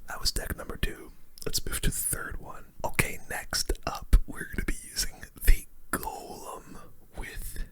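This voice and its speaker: A gaming video host giving casual, slangy running commentary on play in a breathy ASMR whisper. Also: measured pace, hardly any pauses, fairly bright tone.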